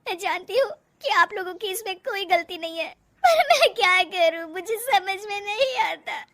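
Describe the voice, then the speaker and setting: A woman's voice: very high-pitched, animated, normal speed, a bit bright, voice pushed up, full character voice. A woman voicing an unhappy young wife, a frustrated painter, who turns curt, angry and tearful. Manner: sulky, then sobbing and pleading.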